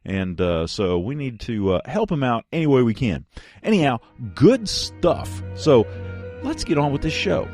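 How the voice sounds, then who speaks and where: A man hosting a podcast, rambling casually through a product review in a folksy, halting way with frequent pauses mid-thought. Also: mid-range voice, very animated, a little mellow, faint music behind. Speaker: podcast host.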